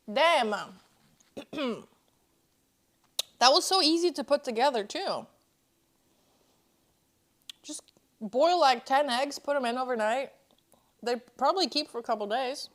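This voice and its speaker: Chatty woman, slightly high voice. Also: lively intonation, slow, long pauses, a bit bright. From Norway. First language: Norwegian. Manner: chatty, talks between bites, reads out chat. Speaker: streamer. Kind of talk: casual chat while eating on stream.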